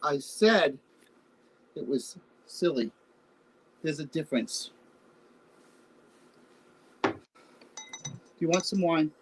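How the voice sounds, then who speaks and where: A man acting the part of a teasing, sentimental partner in a loving couple in a play reading, with halting, bantering delivery. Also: fairly high pitch, expressive, slightly rough, heavily acted, rough recording. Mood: defensive, softening. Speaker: actor in a staged reading. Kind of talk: naturalistic stage play reading. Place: remote virtual reading over video call.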